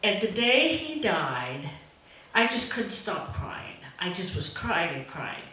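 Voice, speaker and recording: Slow-talking older woman, very echoey room. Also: fairly low pitch, big pitch swings, pushed and bright. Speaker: friend giving a tribute at a memorial celebration. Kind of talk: informal personal storytelling. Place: indoor event room. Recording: very echoey room, faint noise.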